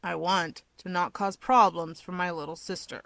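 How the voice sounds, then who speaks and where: A woman's voice: on the low side, fairly even intonation, moderate pace, creaky, fairly bright tone, no room sound. Woman voicing a sardonic, guarded young man. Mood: taunting. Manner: sardonic, dismissive. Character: taunting, disparaging, guarded young man with a grudge. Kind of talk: fan fiction audiobook dialogue.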